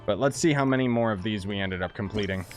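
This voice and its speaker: A young man casually commenting on a game during a live stream, thinking aloud in a relaxed, chatty way. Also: lowish voice, quick pace, faint music behind.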